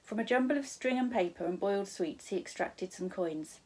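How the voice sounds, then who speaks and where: A woman's voice: medium pitch, expressive, normal speed, a little mellow, poor audio. A woman with a British accent, a primary school teacher, narrating a children's storybook expressively.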